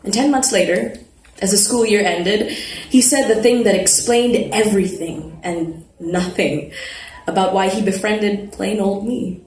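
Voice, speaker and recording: A woman reads a first-person story aloud at a live event in an expressive, conversational way. Her pitch is average and her manner playful. The space is roomy, with some noise behind her. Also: measured pace. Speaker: reader of a first-person novel. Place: live literary event at an arts festival.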